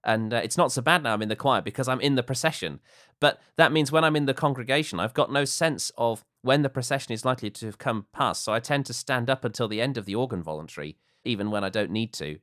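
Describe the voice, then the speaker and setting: A man hosting a conversation, asking long questions and explaining in a measured way. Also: on the low side, a bit bright, dry recording, studio quality. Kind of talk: conversational discussion.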